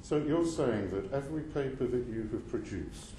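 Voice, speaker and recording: A man's voice: medium pitch, lively intonation, moderate pace, warm tone. A man questioning a witness at a parliamentary committee hearing, pressing and insistent, stumbling and restarting his sentences. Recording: roomy hall sound with faint noise.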